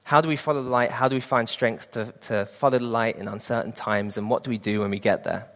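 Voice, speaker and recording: A man's voice: medium pitch, steady tone, fast talker, fairly bright tone, big-room sound. A man in his late twenties preaching a sermon and sharing an earnest personal testimony. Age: 20s.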